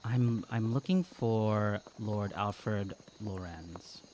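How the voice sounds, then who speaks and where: A man playing a polite, poised young nobleman in a tabletop game, soft-spoken and formal. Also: lowish voice, extremely slow, a little hiss or hum.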